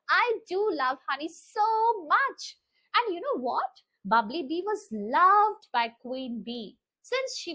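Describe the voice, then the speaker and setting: High, animated woman telling children's stories. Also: low-quality recording. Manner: expressive, teacherly, asks the listeners questions. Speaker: children's storyteller and channel host. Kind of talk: animated storytelling for young children.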